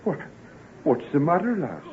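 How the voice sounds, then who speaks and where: A thin, hissy old radio drama recording. a young rural English countryman, tender and protective toward his sweetheart, with an animated, swooping delivery. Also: on the high side, moderate pace, phone-quality sound. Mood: protective, indignant.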